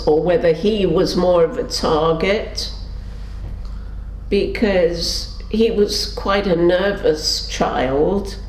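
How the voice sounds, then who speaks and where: A woman's voice: gravelly, darkish tone. An older woman recounting her memories in an oral history interview, voicing the people in her stories as she goes. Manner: storytelling, acts out quotes with pitch shifts. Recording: roomy sound with faint background noise.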